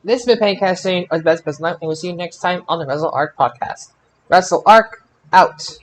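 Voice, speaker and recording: A man's voice: sits high, brisk. A man hosting a podcast in a casual style, with faint background noise. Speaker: podcast host.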